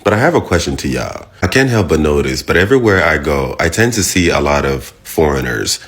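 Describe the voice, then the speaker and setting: A man's voice: very deep voice, sing-song, a little fast. A young man from Nigeria with a mix of Nigerian and American accent, chatting casually to his viewers and asking their opinion. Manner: chatty, repeats shout-outs, laughs and teases near the end. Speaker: vlogger asking viewers a question. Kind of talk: casual direct-to-camera talk.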